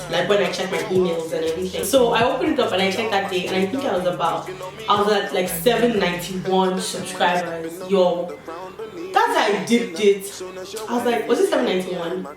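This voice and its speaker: A woman's voice: mid-range voice, moderate pace. A young woman chatting candidly while doing her makeup, with slangy asides. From Nigeria. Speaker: beauty vlogger. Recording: echoey room, distant mic, background music. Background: background music.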